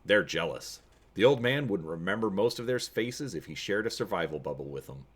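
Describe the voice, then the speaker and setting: A man's voice: deep voice, lively intonation, brisk, a bit bright, slightly rough, exaggerated voice. A man voicing a grim, weathered older colonel in a story reading, confiding and wry, friendly yet coldly ruthless.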